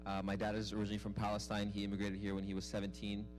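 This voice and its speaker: A man's voice: on the low side, completely flat delivery, very fast, over music. A young American man from Arizona speaking informally as a guest at a live event, self-deprecating, often repeating words as he goes. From Arizona, United States. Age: young adult. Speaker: guest speaker, mosque youth programs director. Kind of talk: informal personal storytelling at a public dialogue. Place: live event before an audience.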